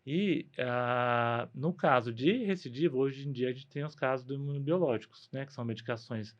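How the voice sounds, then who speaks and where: A man with a Brazilian Portuguese accent speaks as a doctor guesting on a podcast, explaining things in a conversational way. His voice is medium in pitch, and the recording is a little dull. He often sets up a question and then answers it. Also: normal speed.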